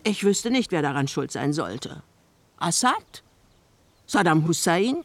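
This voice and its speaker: A woman's voice: sits low, sing-song, takes it slowly, no echo, full character voice. Imperious, eccentric elderly mother. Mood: capricious. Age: older. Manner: grand, blunt, theatrical. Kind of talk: German radio play dialogue.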